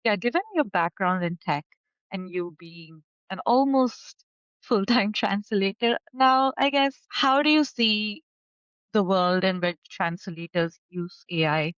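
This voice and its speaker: A woman's voice: mid-range voice, unhurried, fairly bright tone, clear, resonant tone, no echo, highs cut off. A young adult woman hosting an interview in a friendly, informal way over a video call. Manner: friendly, informal, with filler words. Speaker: interviewer, podcast host. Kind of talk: casual interview.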